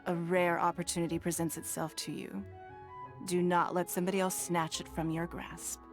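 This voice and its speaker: An actress playing a composed, powerful businesswoman who speaks in measured, formal, authoritative phrases. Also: lowish voice, little pitch movement, close to an everyday voice. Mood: cool, inviting. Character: poised, powerful businesswoman leader, exacting and composed. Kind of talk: video game voice acting. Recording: background music under dialogue.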